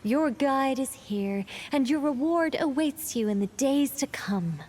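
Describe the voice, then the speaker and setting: A woman's voice: high voice, animated, darkish tone. A woman voicing a grand, mysterious storybook mage in a video game, with pompous archaic phrasing. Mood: imperious. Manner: pompous, archaic, drawn-out delivery.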